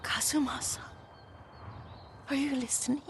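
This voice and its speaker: An actress playing a frail, devoted elderly Japanese woman in a game drama, breathy and weak, halting and wistful, often fading into a whisper. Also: high-pitched, fairly even intonation, a little hiss or hum. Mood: wistful, tender. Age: older. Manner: tender, halting, wandering. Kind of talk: dramatic video game acting. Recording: music behind the voice.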